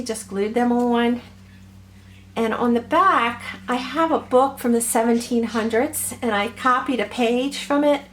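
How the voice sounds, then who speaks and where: Slightly hoarse woman, higher pitch, very unhurried meandering craft-tutorial delivery. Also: background noise. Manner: gentle, meandering, many ums and uhs. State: has a cold, a little hoarse. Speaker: crafter showing her ornaments. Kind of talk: slow, chatty craft tutorial.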